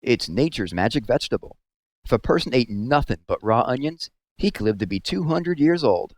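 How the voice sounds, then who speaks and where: A man reads the part of an easygoing young country peddler in a storybook. He pitches his cures with folksy, earnest confidence. The sound is dry and free of room echo. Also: expressive, moderate pace, natural voice. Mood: cheerful pitch.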